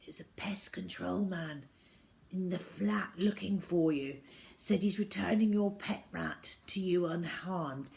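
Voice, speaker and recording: A woman's voice: on the low side, slow pace, gravelly, a little mellow. Woman acting a flustered, anxious storybook dad. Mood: hushed. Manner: hesitant, flustered. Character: Zoe's dad, anxious, muddled, timid grown man. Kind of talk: children's storybook character voice.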